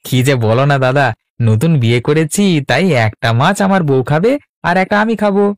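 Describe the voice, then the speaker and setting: A man's voice: a little high, animated, moderate pace, no echo, clean studio sound, lightly acted. A man voicing a gullible, obliging young newlywed villager in a cartoon, with a hesitant, naive manner. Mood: eager, obliging. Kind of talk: Bengali cartoon voice acting.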